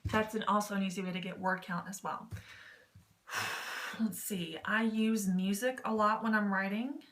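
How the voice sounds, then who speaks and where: A woman's voice: medium pitch, fairly even intonation, a little slow, fairly bright tone. A woman vlogger giving informal advice in a rambling, slightly self-conscious way. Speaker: YouTube vlogger. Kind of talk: casual advice vlog on online text roleplay.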